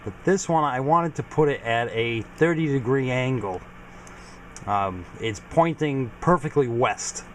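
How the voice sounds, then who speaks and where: A man's voice: mid-range voice, expressive, measured pace, fairly bright tone, a little hiss or hum. A man giving a casual, informal equipment walkthrough as a hobbyist video host, explaining things plainly. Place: home garage.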